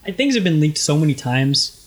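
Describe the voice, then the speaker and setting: A man's voice: fairly high pitch, big pitch swings, brisk. A young man with a light tenor voice, co-hosting a podcast in casual, rambling chat with many fillers. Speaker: podcast co-host.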